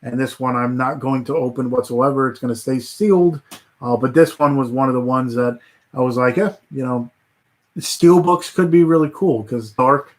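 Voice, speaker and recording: A man hosting a casual livestream, rambling with many fillers and restarts, his voice starting to go hoarse. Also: medium pitch, normal speed. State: voice getting hoarse. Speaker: livestream host.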